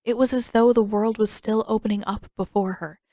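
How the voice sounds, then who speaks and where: A woman narrates a story in a scripted audiobook style. Her pitch is mid-range for a woman, and the recording is dry with very little room sound. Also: steady tone, brisk, warm tone, dry recording. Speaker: fan fiction narrator.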